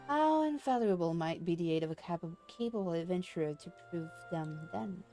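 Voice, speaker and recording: A woman's voice: on the low side, expressive, warm tone, soft background music. Woman reading as a grave, formal game storyteller. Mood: grave, measured. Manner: measured, formal, archaic wording. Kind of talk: reading game dialogue aloud.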